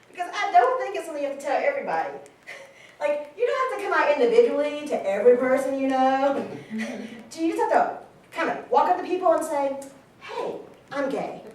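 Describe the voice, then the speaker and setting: A woman playing a candid, warm-hearted friend in a casual monologue at a staged reading. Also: sits high, sing-song, brisk. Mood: fond, candid. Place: live staged reading in a large room. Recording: very echoey hall, distant mic, faint noise.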